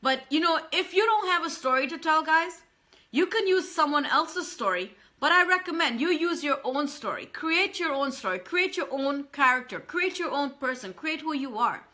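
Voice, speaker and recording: A woman's voice: high voice, animated, moderate pace, pushed and bright. A woman, earnestly talking to live-stream viewers as a business coach and often repeating herself. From Trinidad and Tobago.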